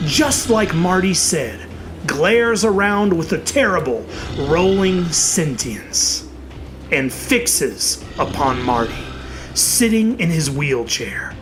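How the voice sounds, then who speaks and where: A narrator reading a horror story in a theatrical, dramatic way, with emphatic, drawn-out words. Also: medium pitch, animated, background noise.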